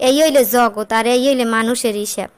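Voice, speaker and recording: A woman's voice: fairly high pitch, steady tone, a little fast, lightly acted. A woman with a Barisal-region Bangladeshi accent playing a nagging, weary wife in a comic cartoon, scolding and lamenting. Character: nagging, weary working wife of a jobless man. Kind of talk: comic cartoon dialogue in rural dialect.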